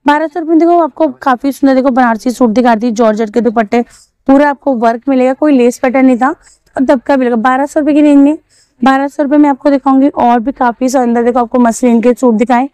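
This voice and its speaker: A woman's voice: high-pitched, brisk, mellow, clear, resonant tone, no echo, low-quality recording. A young woman vlogging at an outdoor market, chatting through prices and fabrics and asking shopkeepers. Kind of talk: walking market vlog showing clothes and prices. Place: busy outdoor street market.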